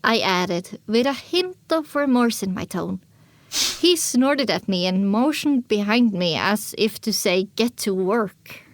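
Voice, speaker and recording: A woman's voice: mid-range voice, expressive, takes it slowly, no echo. A woman reading scripted first-person story narration as the narrator of an audio drama.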